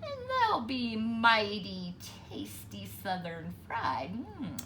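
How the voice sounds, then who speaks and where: A woman's voice: medium pitch, huge pitch swings, takes it slowly, bright, forceful tone, a little hiss or hum, full character voice. Woman playing a sly, greedy male fox villain. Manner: gloating, scheming, chuckling over victims.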